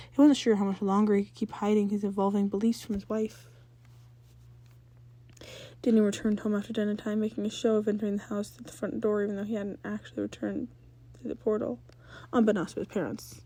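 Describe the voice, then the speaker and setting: Mellow-voiced woman narrating a story. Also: mid-range voice, no room sound. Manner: stumbles and rereads phrases. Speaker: fan fiction reader.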